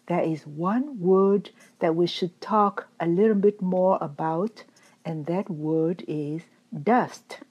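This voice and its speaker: A woman teacher giving a calm, measured dharma talk, her voice still recovering after being lost. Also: on the low side, breathy edge. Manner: calm, measured, gently explanatory. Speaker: Buddhist teacher. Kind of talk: slow reflective dharma talk.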